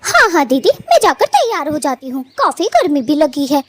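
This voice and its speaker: A woman's voice: high-pitched, huge pitch swings, moderate pace, a bit bright, dry recording, full character voice. A woman voicing a playful, cheeky young female bird with a pert, teasing manner in a cartoon story. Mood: chirpy. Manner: pert, teasing, mock-sulky. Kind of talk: animated cartoon story dialogue.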